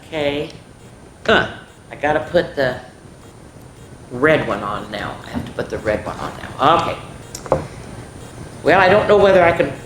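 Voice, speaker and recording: A woman's voice: sits low, sing-song, unhurried, pauses often. Echoey-sounding woman hosting children's story time. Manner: chatty, asks listeners questions and answers them herself. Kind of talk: animated picture-book read-aloud for young children. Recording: echoey room with faint background noise.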